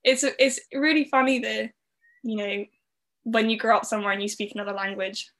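A woman's voice: a little high, fairly even intonation, a little fast, fairly bright tone. A young woman raised in the Netherlands, speaking with a Southern English accent, telling her story as a guest in a podcast interview in a chatty way full of "like". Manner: chatty, frequent "like" fillers.

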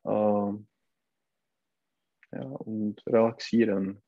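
A man's voice: lowish voice, fairly even intonation, drawn-out words, no room sound, narrow, tinny audio. A man with a Czech accent and a cold, learning German and searching for words with many hesitations. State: has a cold. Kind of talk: halting online language lesson.

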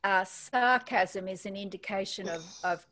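A woman's voice: medium pitch, unhurried, sharp tone. An Australian woman, a guest in a spiritual conversation, speaking thoughtfully and hesitantly, often restarting her phrases.

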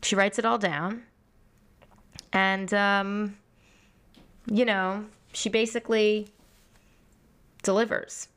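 Smooth-voiced woman chatting casually. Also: medium pitch, steady tone, takes it slowly, long pauses, a bit bright. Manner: chatty, reads tweets aloud with laughs. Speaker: podcast co-host. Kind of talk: casual chat reviewing a reality show.

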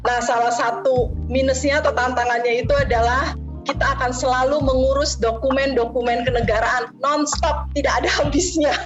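A woman's voice: high voice, fairly even intonation, fairly quick, lo-fi sound, faint music behind. Chatty woman with Jakarta Betawi accent. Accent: Betawi-tinged Jakarta Indonesian. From Jakarta, Indonesia. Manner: chatty, mixes in English words, frequent fillers. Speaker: interview guest, lecturer. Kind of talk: casual interview.

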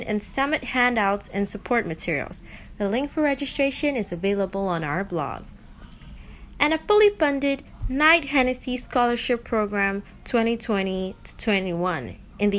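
A woman with a fairly high voice hosts a podcast, addressing listeners in an informal, emphatic way. There is some noise in the recording behind her. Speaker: podcast host. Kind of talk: radio drama podcast hosting, addressing listeners. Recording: clear background noise.

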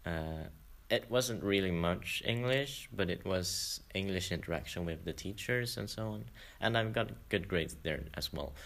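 A man's voice: low-pitched, measured pace, vocal fry, hardly any pauses, fairly bright tone. A young man talking casually on a student podcast, hesitant and often laughing as he trails off. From Sweden. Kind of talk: casual chat.

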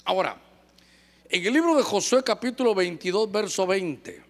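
High-voiced male preacher, lively and joking, acts out little scenes. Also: moderate pace. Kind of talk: sermon.

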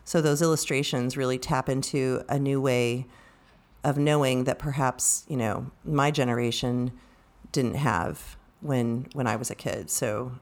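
A woman co-hosts a book discussion in a conversational style. She speaks in halting phrases, building her thought piece by piece. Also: very deep voice. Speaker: book discussion podcast co-host.

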